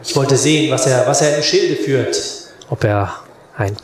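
Male actor as an old-time clergyman in an audio play, mid pitch, roomy echo, faint noise. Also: moderate pace. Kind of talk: acted audio drama line.

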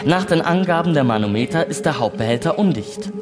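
Man as emotionless station computer, formal and precise, swinging intonation, radio play, loud music behind. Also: on the high side, animated, brisk, close to an everyday voice. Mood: formal, impassive alarm. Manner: formal, bureaucratic, precise announcing. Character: emotionless space station computer reciting emergency instructions. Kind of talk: science fiction radio play reading. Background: music and sound effects.

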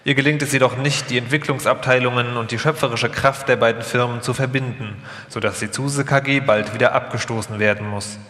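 A man's voice: medium pitch, fairly even intonation, fairly quick, hardly any pauses, a bit bright. A man reading scripted documentary narration live, in a formal, factual manner. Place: large hall, live stage reading. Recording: echoey hall, long reverb.

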